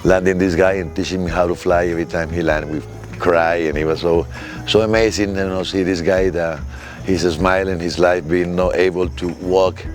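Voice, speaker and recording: A paragliding instructor, a man, speaking in heartfelt, halting English in a documentary interview. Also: low voice, fairly even intonation, faint music behind.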